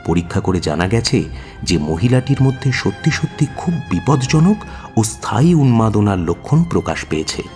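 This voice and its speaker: A man playing an earnest detective's companion, narrating an audio story in an emphatic, expressive way. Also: fairly low pitch. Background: music.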